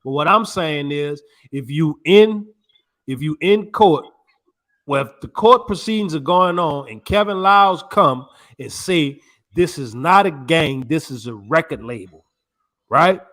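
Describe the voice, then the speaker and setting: A man's voice: on the high side, expressive, a little slow, a bit bright. A man co-hosting a podcast, chatting casually in a laid-back, slangy way. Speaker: podcast co-host. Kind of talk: casual chat.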